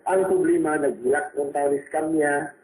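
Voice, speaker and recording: A man's voice: on the high side, steady tone, normal speed, a little mellow. A man with a Cebuano Filipino accent, a doctor co-hosting a radio advice program. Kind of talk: radio advice talk with co-host. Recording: roomy echo, clear background noise.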